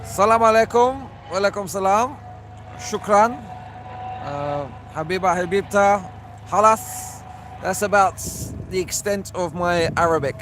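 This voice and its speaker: Casual young man with loud race cars behind. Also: high-pitched, measured pace. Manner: relaxed, chatty, reads out viewer comments. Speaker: vlogger commenting on practice session. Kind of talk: casual trackside commentary. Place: outdoors at a race circuit. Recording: loud outdoor traffic noise. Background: race cars passing.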